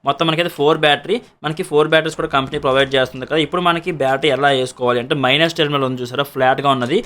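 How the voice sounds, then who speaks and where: Telugu guy explaining a gadget step by step, repeats himself, drops english words in, super quick, voice a bit high. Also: a bit bright. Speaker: product reviewer and seller. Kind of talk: casual product demonstration to camera.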